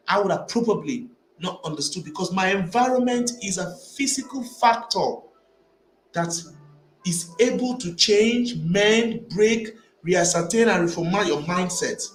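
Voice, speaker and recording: Emphatic high-voiced man in an echoey room. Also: normal speed. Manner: emphatic, repeats phrases while building arguments. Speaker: vlogger. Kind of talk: opinionated commentary to camera. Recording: echoey room with faint ambient noise.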